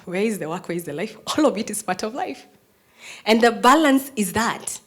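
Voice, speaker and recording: A woman's voice: mid-range voice, expressive, normal speed. Earnest Kenyan woman telling courtroom stories. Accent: Kenyan English. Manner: strong, firm voice. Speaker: prosecutor, speaker giving a talk. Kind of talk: personal storytelling talk to an audience.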